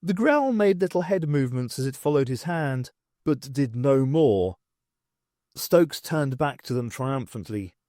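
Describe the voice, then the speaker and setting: A man reading scripted science fiction narration in an expressive storytelling manner. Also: medium pitch, measured pace, dry recording, clean studio sound. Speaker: audiobook narrator.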